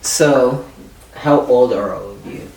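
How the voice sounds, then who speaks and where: A man's voice: medium pitch, takes it slowly, gravelly, crowd noise. Male interviewer, middle register, loose slangy banter, slightly off-mic. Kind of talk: casual joking chat. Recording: roomy, distant mic, faint noise.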